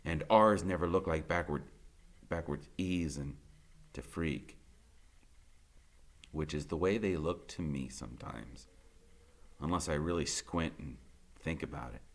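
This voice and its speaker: A man reading a children's novel aloud in the first person, like a teacher, expressive and colloquial. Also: a bass voice, unhurried, pauses often.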